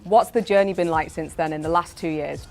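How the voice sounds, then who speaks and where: A woman's voice: lowish voice, a little fast, creaky. A woman radio host narrating a feature and asking chatty, self-deprecating interview questions. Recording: music bed underneath.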